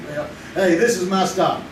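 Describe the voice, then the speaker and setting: A man playing a young man who always needs money, with a high and easygoing voice. He delivers his lines in a wry, teasing way. The sound is echoey theater audio with steady room noise. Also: distant mic. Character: young man Greg, broke and scheming for money. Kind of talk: 1950s-style radio drama acted live on stage.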